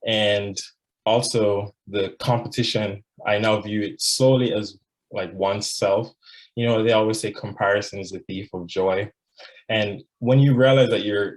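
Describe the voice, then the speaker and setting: Earnest young man from Jamaica, low voice. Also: rough recording. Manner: earnest, reflective, frequent "like" and "um" fillers. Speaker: law student scholar. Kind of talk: prepared personal presentation.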